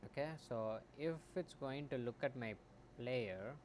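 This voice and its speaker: Man muttering through code on stream. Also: measured pace. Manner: muttering, trails off into soft asides. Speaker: game dev streamer. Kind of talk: thinking aloud while coding on stream. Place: home room. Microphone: desktop mic. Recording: faint background noise, low home mic.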